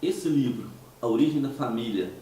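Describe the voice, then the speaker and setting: Hoarse mineiro man in an echoey room. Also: medium pitch, lively intonation, moderate pace, dark tone, recorded from a distance, slight background noise. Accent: Mineiro Brazilian Portuguese. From Minas Gerais, Brazil. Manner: conversational, hesitant, with restarts and rhetorical questions. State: hoarse from a cold. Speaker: host of a commentary show. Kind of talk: casual monologue commentary.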